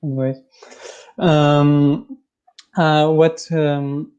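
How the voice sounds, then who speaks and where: A man with a French accent calling into a livestream, hesitant and full of pauses as he asks his question. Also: on the high side, level tone, darkish tone, clear, resonant tone, muffled recording. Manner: hesitant, many ums and pauses. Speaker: caller. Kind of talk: casual call-in question.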